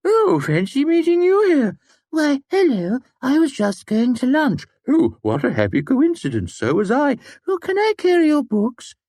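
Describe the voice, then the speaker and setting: A man's voice: very high-pitched, huge pitch swings, a little slow, a little mellow, no echo, studio quality, voice pushed up, exaggerated voice. A man voicing coy, gushing puppets flirting in an exaggerated sing-song manner in an audiobook. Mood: mock flirtatious.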